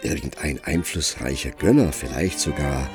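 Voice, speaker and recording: An actor narrating a literary radio drama as a measured storyteller of a romantic tale. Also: male voice, rumbling low. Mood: measured storytelling. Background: music under the narration.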